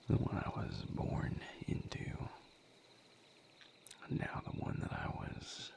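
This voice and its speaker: A man acting as a gentle vampire healer in an intimate audio roleplay. His low voice is heavy with fry and often falls to a breathy whisper. He talks slowly and haltingly, wry, a little weary and thoughtful. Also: full character voice. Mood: tender, relieved. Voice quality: breathy, gravelly near-whisper. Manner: halting, reflective, casually profane.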